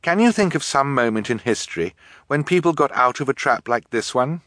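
A man's voice: medium pitch, animated, a little fast, fairly bright tone, dry recording, studio quality, pitched above the performer's own voice, natural voice. A man voicing an earnest, protective teenage orphan girl with a pleading, emphatic delivery in a storybook. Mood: urgent, thinking.

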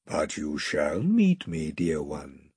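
A man voicing a great, wise male lion who speaks gravely, slowly and tenderly. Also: sits low, big pitch swings, warm tone, no room sound, studio quality, pitched below the performer's own voice, heavily acted. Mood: solemn tender.

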